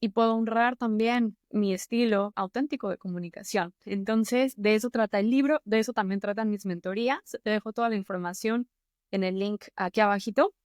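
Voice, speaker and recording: Mexican-accented woman, mid-pitched, encouraging mentor tone, dry close sound. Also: steady tone, brisk, dry recording. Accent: Mexican Spanish. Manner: earnest, encouraging, conversational. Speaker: YouTube host and public-speaking mentor. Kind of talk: motivational advice to camera.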